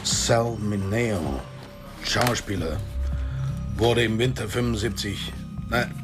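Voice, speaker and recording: An actor playing a gruff, laconic middle-aged veteran police sergeant in a German radio drama. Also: male voice, lowish voice, slow. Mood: dry, matter-of-fact. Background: music.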